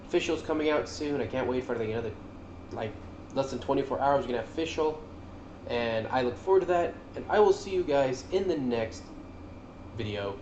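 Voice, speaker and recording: A man's voice: medium pitch, animated, a little fast, dull audio, a little hiss or hum. A man giving casual, slangy and enthusiastic commentary as a gaming video creator. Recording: roomy echo under loud music. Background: loud game music.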